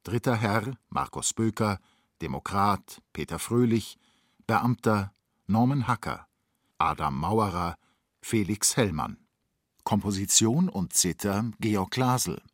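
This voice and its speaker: A man reading scripted radio play credits as an announcer, measured and formal. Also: on the low side, lively intonation, dry recording. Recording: dry studio sound.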